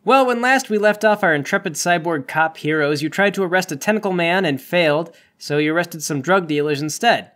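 A man's voice: a little high, brisk, few pauses, a bit bright. A man acting as game master, mixing casual banter with narration for the players.

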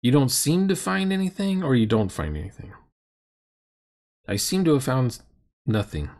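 A man's voice: medium pitch, wildly swooping pitch, normal speed, darkish tone. A middle-aged man giving casual, sardonic and critical commentary on a roleplaying game.

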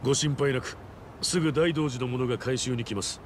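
A man's voice: mid-range voice, steady tone, lightly acted. Courteous, unruffled game fixer, male actor, mid-range voice, dry understatement, faint background noise. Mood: cool, wry. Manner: polite, measured, wry. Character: polished, composed male fixer, dryly courteous.

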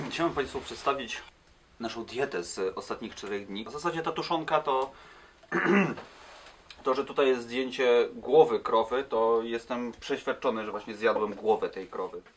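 A man presenting to camera indoors in a deadpan, mock-formal way. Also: mid-range voice, measured pace, slight background noise. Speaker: expedition cyclist.

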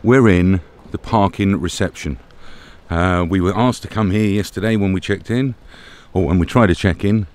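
Deep-voiced British man vlogging outdoors. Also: moderate pace, a little mellow. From Britain. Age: middle-aged. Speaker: travel vlogger. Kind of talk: casual vlog chat to camera. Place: outdoors at a campsite. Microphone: camera at arm's length. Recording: outdoor camera audio with background noise.

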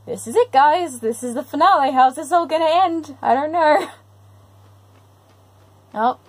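A woman hosting a reaction video, giving casual commentary with expressive, exclamatory asides. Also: sits high, measured pace.

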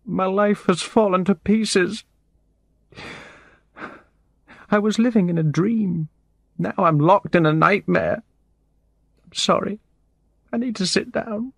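A man's voice: medium pitch, sing-song, a little slow, long pauses, a little mellow, slightly rough, dry recording, clean studio sound, voice pushed up, exaggerated voice. A man voicing a distraught, weeping middle-aged woman in an audiobook story, with a shaky, emotional, pleading delivery. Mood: tearful, desperate.

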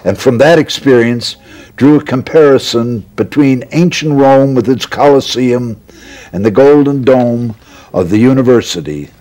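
Older man reciting poetry slowly. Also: medium pitch, warm tone. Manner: measured, solemn recitation, voice dropping at line ends. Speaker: guest reading a poem. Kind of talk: slow dramatic poetry reading. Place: TV studio with curtain backdrop.

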